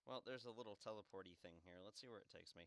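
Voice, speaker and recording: A man's voice: lowish voice, very fast, a bit bright, no room sound. A man giving casual, wisecracking commentary as co-host of a game playthrough. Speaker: let's play co-host.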